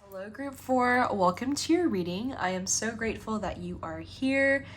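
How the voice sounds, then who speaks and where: A woman's voice: on the high side, expressive, normal speed, slight background noise. A woman reading tarot cards to camera, rambling and affirming, with frequent ums and you-knows. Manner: earnest, affirming and encouraging. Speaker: tarot reader.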